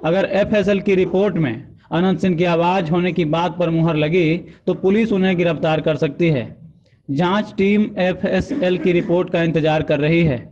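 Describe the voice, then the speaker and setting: A man's voice: high voice, steady tone, normal speed, darkish tone. A man reading scripted news narration as a voice-over, on a dull and slightly noisy recording. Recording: dull lo-fi voice-over, faint noise.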